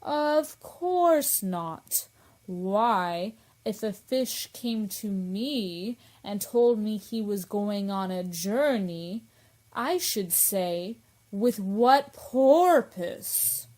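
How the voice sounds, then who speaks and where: A woman playing a mournful old turtle creature in a radio play, with a ponderous, drawn-out, sighing delivery. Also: mid-range voice, animated, darkish tone. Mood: earnest, pompous.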